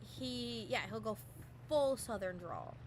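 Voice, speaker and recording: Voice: mid-range voice, animated, takes it slowly, a little hiss or hum. A young adult game streamer chatting casually and rambling with lots of "um" and "like", fond of doing voices. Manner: rambling, full of "um" and "like"; does playful voices and mimicry. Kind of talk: casual live-stream chat.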